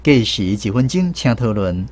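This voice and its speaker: A man's voice: lowish voice, very animated, normal speed. A man with a Taiwanese accent hosting a language quiz on the radio, guiding the discussion. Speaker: host of a language-teaching radio segment. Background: music bed.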